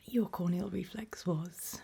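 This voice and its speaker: A British woman doing an ASMR roleplay as a doctor, whispering gently and breathily, with hesitant pauses. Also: fairly low pitch, fairly even intonation, creaky, husky.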